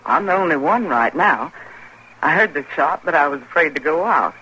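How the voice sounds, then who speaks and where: Young frontier saloon girl, guarded, bright tone, animated pitch, faintly noisy old radio recording. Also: normal speed. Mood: anxious, guarded. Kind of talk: old-time radio western acting.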